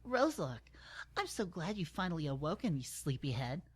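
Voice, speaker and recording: A man's voice: on the high side, big pitch swings, breathy edge, voice pushed up. A man voicing a smug, predatory female monster pony with mocking menace in an amateur dramatic reading. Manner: mocking, gloating, drawn-out menace.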